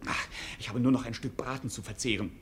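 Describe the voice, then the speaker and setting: An actor playing an impudent, gluttonous court fool in a comic radio play, clownish and full of sighs and exclamations. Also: male voice, mid-range voice, steady tone, quick pace, slight background noise, close to an everyday voice. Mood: munching glee. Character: cheeky, gluttonous male court fool, wily and irreverent.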